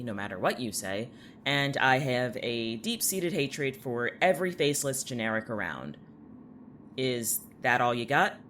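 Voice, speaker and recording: Man playing a sardonic, animated high school student. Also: slight background noise. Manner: sardonic, blunt, irritable. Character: sarcastic, defensive, jaded male high school student. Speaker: actor in audio drama. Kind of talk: audio fiction dialogue.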